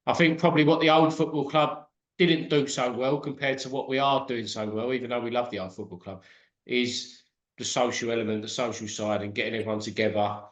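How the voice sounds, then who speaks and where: A man's voice: medium pitch, fairly even intonation, fairly quick, roomy sound, dull audio. A man from the Thurrock area of England hosting an interview in a friendly, hesitant way with fillers. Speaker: interviewer, host. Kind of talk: casual interview.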